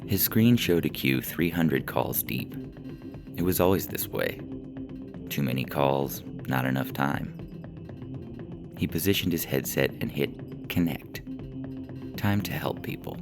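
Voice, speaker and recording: A man narrating scripted comic fiction in a dry, deadpan manner. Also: very deep voice, unhurried, vocal fry, pauses often. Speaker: story narrator. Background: music.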